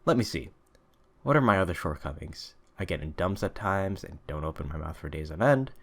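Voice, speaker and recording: Storybook detective, played by a male reader: proud, eccentric, eager, declamatory, low-pitched male. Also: animated, a little fast. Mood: affable, frank.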